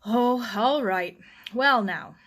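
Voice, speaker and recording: A woman voicing a gruff, opinionated older uncle reading aloud from his newspaper in a storybook. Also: a little high, slow pace, a bit bright. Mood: grudging.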